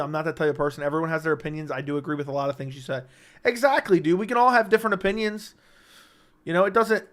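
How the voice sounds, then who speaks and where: A man with a slightly high, somewhat raspy and worn voice hosts a casual livestream. He reads viewers' questions aloud and answers them offhand, joking along the way. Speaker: livestream host reading chat.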